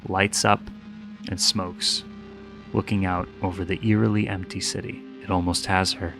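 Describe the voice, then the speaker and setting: A man's voice: low voice, flat delivery, moderate pace, creaky. A man hosting a commentary video in an informal, opinionated way, moving between scripted recap and casual asides. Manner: informal, opinionated, mild swearing. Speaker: host. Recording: music under the voice. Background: background music.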